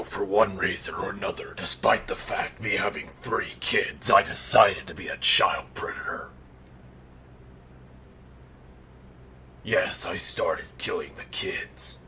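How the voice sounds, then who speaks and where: Guy doing an undead animatronic killer dad, harsh forceful growl, deadpan and fed up, roleplay bedtime story, bit of noise behind. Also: deep voice, heavily acted. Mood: grudging, hushed. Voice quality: gravelly, breathy growl. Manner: deadpan dark humor, exasperated. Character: undead killer in animatronic suit, sardonic, irritable father. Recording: noticeable background noise.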